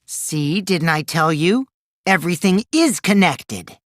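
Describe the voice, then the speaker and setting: A man's voice: a little high, lively intonation, slow pace, fairly bright tone, no room sound, clean studio sound. An actor playing a mischievous teenage boy in an anime game dub, a gleeful liar who teases and taunts theatrically, shifting between singsong mockery and sly menace. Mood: knowing, playful.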